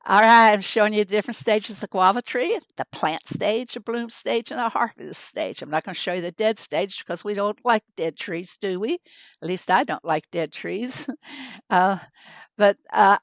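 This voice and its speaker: Hoarse, chatty woman streamer. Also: mid-range voice, no room sound. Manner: chatty, upbeat, laughs often, talks to chat. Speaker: game streamer. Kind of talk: casual livestream chat to viewers.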